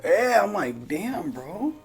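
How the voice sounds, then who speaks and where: Guy doing casual reaction talk, swears a bit, jokey and slangy, voice bounces up and down, soft music behind. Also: high voice, very animated, slow. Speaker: reaction show host.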